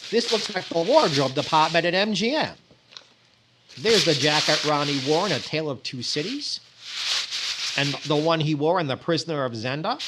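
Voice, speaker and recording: A man's voice: a little high, heavy background noise. A man playing a vain, naive, fussy comedian in 1940s radio comedy style, exclaiming and stammering. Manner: exclaiming, hesitant stammers, comic timing. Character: vain, naive, fussy comedian, easily flustered, boasting of possessions.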